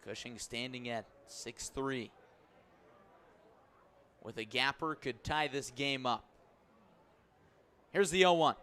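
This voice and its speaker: A man's voice: medium pitch, slow pace, long pauses, fairly bright tone. A man doing live baseball play-by-play on the radio in clipped phrases, stretching key words. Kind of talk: live sports commentary.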